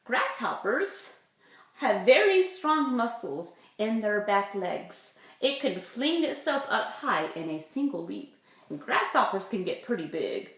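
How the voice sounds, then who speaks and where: A woman's voice: fairly high pitch, very animated, slow, a bit bright. A woman, a preschool teacher reading aloud to young children with simple, chatty explanations. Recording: echoey room.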